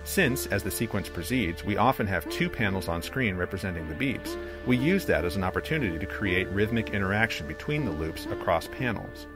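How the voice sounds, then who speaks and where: A man narrating a scripted academic video essay in a measured, articulate, explanatory way. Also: sits low, expressive. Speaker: presenter, digital comics creator. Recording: music under the voice. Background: music.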